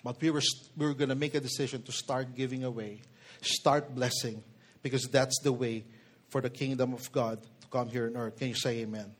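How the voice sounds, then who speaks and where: A man's voice: medium pitch, fairly even intonation, brisk. A man in his forties with a Filipino accent, delivering an emphatic sermon and putting questions to his listeners. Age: 40s. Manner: code-switches between English and Tagalog. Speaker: preacher.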